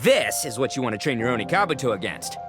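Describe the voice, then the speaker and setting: A cocky young oni gang leader, acted by a man, swaggers through his lines with huge swoops of pitch. He is bombastic and theatrical in anime game style, over light music. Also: sing-song, fast, natural voice. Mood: cocky appraisal.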